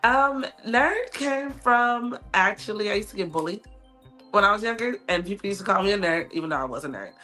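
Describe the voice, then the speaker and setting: A woman's voice: mid-range voice, lively intonation, a little fast, soft background music. A young adult woman, a musician, chatting openly in a casual podcast interview and laughing often. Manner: laughs often, warm and open. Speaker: guest musician.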